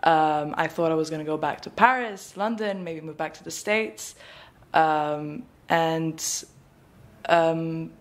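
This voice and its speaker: A woman's voice: sits low, steady tone, slow. A woman answering interview questions in a rambling, thinking-aloud way with many fillers. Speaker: graduate student guest.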